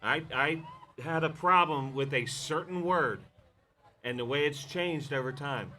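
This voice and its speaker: A man's voice: on the high side, measured pace, pushed and bright. A man co-hosting a casual podcast, teasing and swearing freely in a sardonic way, from Maryland. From Maryland, United States. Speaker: podcast co-host. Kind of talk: casual banter.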